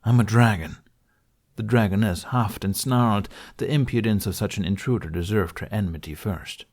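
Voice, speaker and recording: A man's voice: on the low side, fairly even intonation, moderate pace, a little mellow. A man reading a scripted fantasy story as an audiobook narrator.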